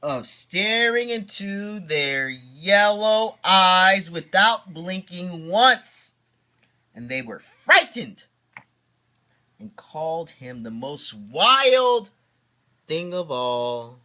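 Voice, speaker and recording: A man reading a children's story aloud as a father, with theatrical, drawn-out emphasis and chatty asides. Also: high voice, big pitch swings, pushed and bright.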